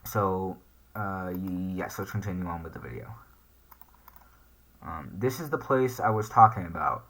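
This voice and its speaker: A man's voice: low voice, moderate pace, long pauses, a bit bright. A man hosting a casual reaction video, hesitantly thinking aloud as he comments and reads text off the screen. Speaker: YouTube reaction host.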